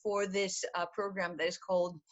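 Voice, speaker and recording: A woman's voice: lowish voice, flat delivery, moderate pace, lo-fi sound. A middle-aged woman from Greece, a program director, presenting an online information session from home over a video call. She talks in a chatty way, with many fillers and laughs.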